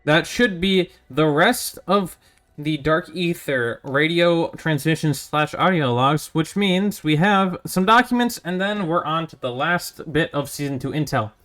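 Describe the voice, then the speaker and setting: A man's voice: fairly high pitch, expressive, measured pace, a bit bright. Man casually narrating game lore. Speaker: video host.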